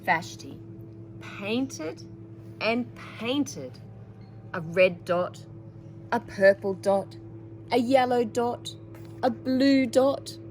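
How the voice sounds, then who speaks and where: A woman's voice: on the high side, animated, very slow, pauses often, darkish tone, a little hiss or hum, poor audio. A woman presenting a children's storytime, reading a picture book theatrically with dramatic emphasis and chatty asides to young listeners.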